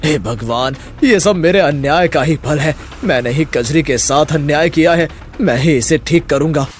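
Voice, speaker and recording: A man's voice: on the high side, normal speed, slight background noise, close to an everyday voice. Man voicing a grave older village patriarch. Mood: remorseful. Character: stern, remorseful older village landowner father.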